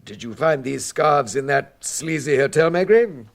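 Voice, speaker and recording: An actor playing an officious, sceptical examining judge in a radio drama, speaking formally and precisely. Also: male voice, medium pitch, lively intonation, a little slow, vocal fry. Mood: probing, sceptical.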